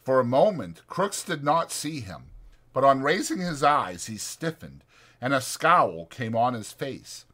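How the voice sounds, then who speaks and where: A male narrator reads literary prose for a dramatized audiobook. His pitch moves in a lively, expressive way as he delivers the scripted narration. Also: a little slow.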